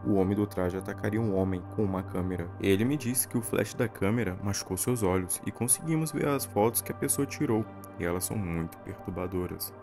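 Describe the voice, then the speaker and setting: A man with a Brazilian accent reading a scripted narration that recaps a horror series. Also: on the low side. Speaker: video narrator.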